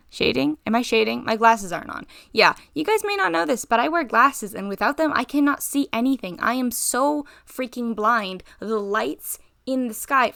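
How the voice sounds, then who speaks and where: A young woman with a fairly high, gravelly voice, worn out from too little sleep. She rambles and interrupts herself as she talks over her drawing. The sound is close and dry. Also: fairly bright tone, no echo. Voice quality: slightly raspy, gravelly. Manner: rambling, self-interrupting, giggly. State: tired, short on sleep. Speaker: art channel host.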